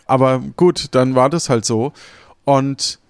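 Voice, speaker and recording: A man's voice: medium pitch, slow, smooth, no echo, clean studio sound. A man in casual conversation as a podcast co-host, thinking aloud and rephrasing as he goes. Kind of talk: casual chat.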